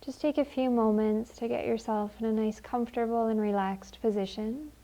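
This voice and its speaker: A woman leading a guided meditation in a gentle, unhurried, soothing manner with long pauses. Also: a little high, fairly even intonation, low-quality recording.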